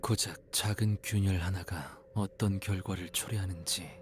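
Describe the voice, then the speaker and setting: A man's voice: low-pitched, fairly even intonation, rapid-fire, a little hiss or hum, close to an everyday voice. An actor playing a wistful, brooding young male scholar with dry humour in a game. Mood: hushed, regretful. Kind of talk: scripted game voice acting. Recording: game music under dialogue.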